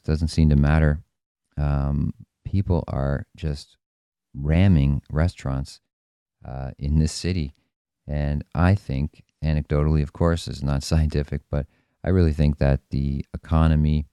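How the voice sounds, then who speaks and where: Hoarse man, very deep voice, dark tone, solo podcast host. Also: dry recording, clean studio sound. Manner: loose, with many fillers and restarts.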